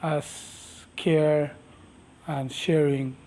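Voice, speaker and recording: A middle-aged man from Micronesia, whose first language is Micronesian, answering interview questions slowly and thoughtfully, with many pauses and fillers. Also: fairly high pitch, level tone, warm tone. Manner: slow, thoughtful, halting speech with many fillers. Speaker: master navigator and canoe captain.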